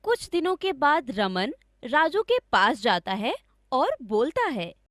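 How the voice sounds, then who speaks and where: A woman's voice: high voice, very animated, takes it slowly, fairly bright tone, no room sound, studio quality. A woman narrating a scripted story for children as a storyteller.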